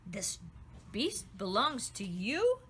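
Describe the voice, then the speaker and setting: A woman voicing a stern, regal fairy queen with a commanding, measured manner. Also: medium pitch, huge pitch swings, a bit bright, a little hiss or hum, full character voice.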